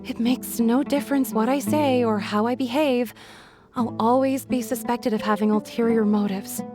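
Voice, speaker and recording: Young villager woman for a game, voiced by an actress: timid, guarded, courteous, slightly high pitch. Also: steady tone, normal speed, natural voice. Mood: downcast, guarded. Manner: hesitant, polite. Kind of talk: video game voice acting. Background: music.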